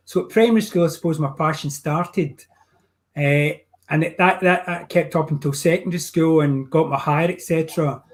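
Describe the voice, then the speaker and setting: An older man with a Glasgow accent talks over a video call from his home art studio. His voice sits a little high for a man. He chats in a rambling, easygoing way, often restarting his sentences. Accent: Glaswegian. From Scotland. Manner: chatty, rambling with many restarts. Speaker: painting teacher. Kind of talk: casual video call chat.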